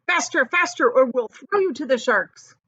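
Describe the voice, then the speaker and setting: A woman voicing a brash, bombastic male centipede in a storybook, loudly exhorting. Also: high-pitched, lively intonation, moderate pace, breathy edge, no room sound, highs cut off, pitched above the performer's own voice, exaggerated voice. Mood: frantic bullying. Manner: loud, exhorting.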